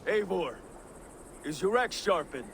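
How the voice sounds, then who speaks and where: An actor playing a confident Viking war leader in a game cutscene, assured, hearty and commanding. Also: male voice, high voice, big pitch swings, measured pace, gravelly, noisy background, full character voice. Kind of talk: video game cutscene acting.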